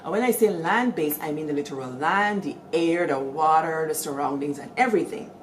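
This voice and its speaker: A middle-aged Guyanese woman hosting, telling village stories in a lively, animated way and calling out to her listeners. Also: low-pitched, a little fast, a little hiss or hum, low-quality recording. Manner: lively, animated storytelling with call-outs to listeners. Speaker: storyteller host. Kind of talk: storytelling talk.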